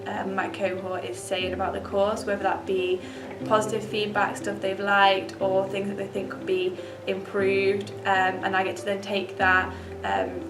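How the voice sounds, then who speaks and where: Young woman student rep, flat steady voice, far mic, music under. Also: medium pitch. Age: young adult. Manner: measured, with "um" before phrases. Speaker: student representative. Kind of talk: casual talk to camera. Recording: roomy, distant mic, music behind.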